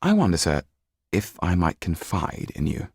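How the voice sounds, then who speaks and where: A man voicing a well-bred, kindly gentleman banker in an audiobook, measured and polite with quiet authority. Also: sits low, wildly swooping pitch, slightly rough, no room sound, clean studio sound, full character voice. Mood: confiding.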